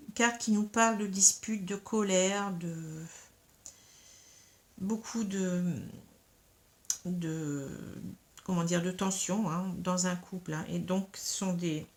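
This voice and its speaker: A woman who has a cold, reading tarot cards in a casual, hesitant way, full of fillers and tag questions. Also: mid-range voice. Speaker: tarot reader.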